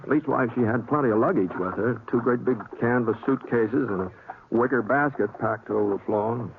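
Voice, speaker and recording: An actor playing a gentle, bashful American cowboy in an old radio western, husky, with a halting, stammering drawl. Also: male voice, medium pitch, slight background noise, lightly acted. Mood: folksy, reflective. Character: gentle, bashful drifting cowboy, plain-spoken. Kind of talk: 1950s radio western acting, folksy narration and dialogue. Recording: tinny old-time radio recording.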